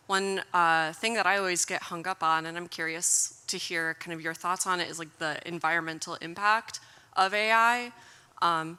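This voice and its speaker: A woman in a conference audience asking a question, hesitant and filling pauses with "um" and "kind of". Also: lowish voice, sharp tone, smooth. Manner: hesitant, many fillers. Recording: reverberant hall.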